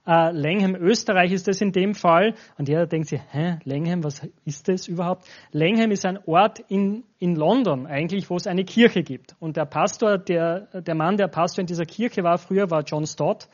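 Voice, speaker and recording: High-voiced Austrian man, dull recording. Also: moderate pace. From Styria, Austria. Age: 40s. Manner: informal, addresses listeners directly. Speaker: guest pastor. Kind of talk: informal spoken introduction to a church congregation. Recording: dull, lo-fi sound.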